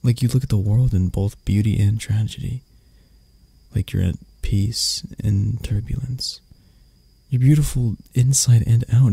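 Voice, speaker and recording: A man playing an arrogant, prickly CEO boss who turns vulnerable and flirty, in an intimate, halting ASMR roleplay that often drops toward a whisper. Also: lowish voice, creaky, mellow, slightly rough, no echo, exaggerated voice.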